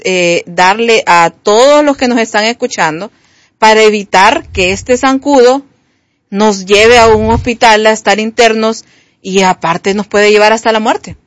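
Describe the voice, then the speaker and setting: A woman's voice: mid-range voice, dry recording. A woman with a Honduran Spanish accent co-hosting a radio show, reading listener messages and greetings in a friendly way. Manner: friendly, reads greetings and questions.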